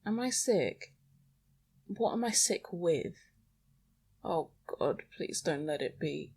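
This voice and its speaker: A woman's voice: fairly low pitch, lively intonation, takes it slowly, gravelly, warm tone, husky. A young woman podcasting alone in a rambling, confessional monologue, anxious and self-deprecating. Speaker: podcast host. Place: small bedroom at home. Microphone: small microphone.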